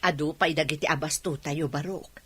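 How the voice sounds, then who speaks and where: A woman's voice: sits low, steady tone, moderate pace, a bit bright. An actress playing a reassuring, homely older mother in an Ilocano radio drama, with an Ilocano accent.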